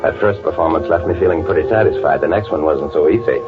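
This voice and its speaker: An actor playing a guilty, scheming man in an old-time radio thriller, speaking with a halting, nervously polite, confessional delivery. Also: extremely low pitch. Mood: confessional, tense. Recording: tinny, band-limited old radio recording, music behind.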